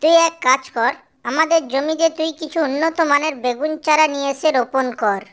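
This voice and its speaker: A woman's voice: high voice, fairly quick, fairly bright tone. A woman voicing a firm, sentimental widowed farming mother in a cartoon story, plain-spoken and instructing. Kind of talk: Bengali cartoon story acting.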